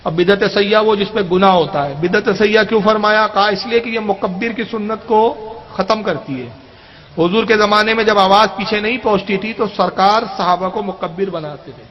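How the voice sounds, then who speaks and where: High-pitched male preacher. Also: a little fast. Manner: emphatic, argumentative, with rhetorical questions. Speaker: Islamic cleric. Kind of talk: religious question-and-answer sermon. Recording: dull, lo-fi audio.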